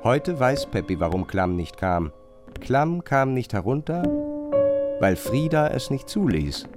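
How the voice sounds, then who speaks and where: A man's voice: medium pitch, big pitch swings, unhurried, music in the background. A man narrating a radio drama in a scripted, literary style.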